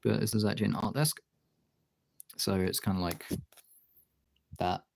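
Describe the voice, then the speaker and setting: A man's voice: on the low side, steady tone, normal speed, vocal fry, background noise. A man talking in an informal, rambling way, thinking aloud as he gives a casual art demonstration as a streamer. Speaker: streamer, artist. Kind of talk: casual chat while demonstrating drawing.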